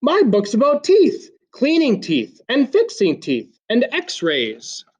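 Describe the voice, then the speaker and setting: A man voicing an excited, wide-eyed young girl in a storybook read-aloud. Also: very high voice, takes it slowly, pitched above the performer's own voice, full character voice. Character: eager young girl who dreams of dentistry.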